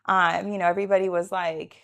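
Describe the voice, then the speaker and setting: A woman's voice: on the low side, little pitch movement, a little fast, creaky, fairly bright tone. A woman in her thirties whose first language is Russian, chatting casually as an interview guest with many "like" fillers. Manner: chatty, frequent "like" fillers, laughs easily. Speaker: guest, realtor and entrepreneur.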